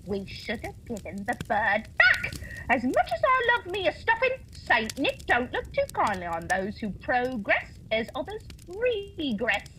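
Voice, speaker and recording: A woman playing an excitable, pious, scolding working-class wife in a holiday radio play, with melodramatic delivery and folksy phrasing. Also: sits high, a little slow, a little hiss or hum, full character voice, rough recording.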